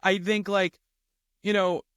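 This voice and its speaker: Man, high-pitched for a male, podcast co-host, explanatory, casual film chat, dry room-free sound. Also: little pitch movement, measured pace, a bit bright, clear, resonant tone, no echo.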